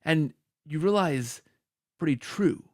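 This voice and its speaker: A man's voice: on the high side, lively intonation, slow, darkish tone, no echo, studio quality. A man hosting a conversational interview podcast, speaking effusively with choppy restarts and repeated phrases. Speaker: podcast host.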